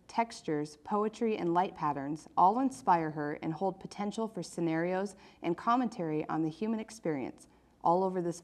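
Woman, program host, lowish pitch, scripted magazine narration.

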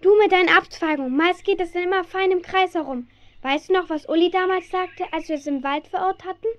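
A woman's voice: very high voice, fairly quick, highs cut off. An actress playing a sensible, sympathetic teenage girl in a German radio play, earnest and reasoning. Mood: teasing. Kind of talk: German radio play acting for young listeners.

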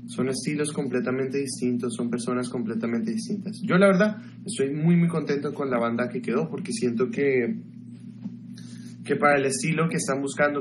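A man's voice: medium pitch, rough recording. A young man from Ecuador chatting casually with live viewers from his bedroom, gossiping and answering their questions into a webcam mic. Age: young adult. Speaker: livestreamer. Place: small bedroom, indoors. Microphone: webcam mic at desk.

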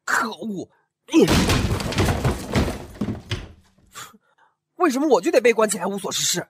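A man's voice: high-pitched, sing-song, fast talker, noisy background. A man playing a curious, impatient young heir in an ancient-era audio drama, who questions his elders and grumbles. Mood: frustrated. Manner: questioning, restless, indignant. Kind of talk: fan audio drama acting.

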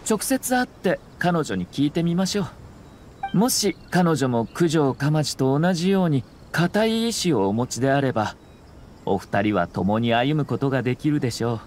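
A man's voice: medium pitch, sing-song, moderate pace, darkish tone, a little hiss or hum, natural voice. An actor playing a composed young nobleman in a Japanese video game, speaking formally, politely and with measure. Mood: calm, thoughtful. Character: courteous, composed young nobleman and shrewd commissioner.

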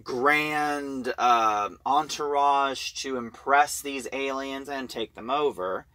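A man giving an informal story recap as a reviewer, talking in a halting, conversational way. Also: medium pitch, sharp tone. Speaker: audio drama reviewer.

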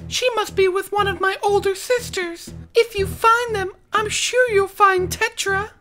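Eerie, very high little girl spirit. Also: fairly even intonation, a little slow, fairly bright tone, soft background music. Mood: falsely sweet. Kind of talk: fan-dub game parody acting.

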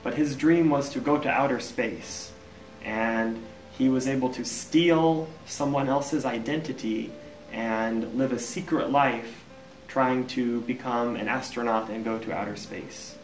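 A man in his thirties from Kansas with an American accent, a university teacher answering interview questions in a relaxed, plain-spoken way. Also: medium pitch, unhurried, echoey room, far from the mic, faint music behind, poor audio. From United States, Kansas. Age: 30s.

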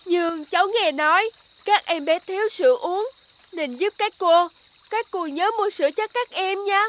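Tiny, squeaky-high polite little boy. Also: moderate pace, fairly bright tone, slight background noise. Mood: polite, eager. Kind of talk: animated fairy-tale dubbing.

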